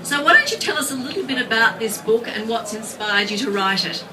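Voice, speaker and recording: A woman's voice: on the high side, brisk. A woman hosting a live restaurant event, chatty and lightly laughing, with filler ums. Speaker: event host. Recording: distant mic, crowd noise.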